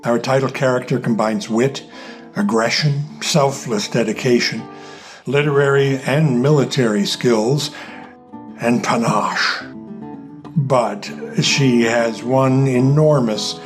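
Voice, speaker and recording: A man's voice: medium pitch, takes it slowly. A man, a playwright, reading a scripted introduction to his play. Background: plucked guitar music.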